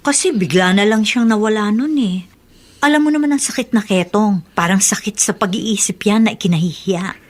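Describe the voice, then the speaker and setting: A woman playing a brisk, matter-of-fact housewife chatting with her husband in a radio drama. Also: mid-range voice, natural voice. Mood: confiding. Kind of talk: Filipino radio drama and sponsor dialogue.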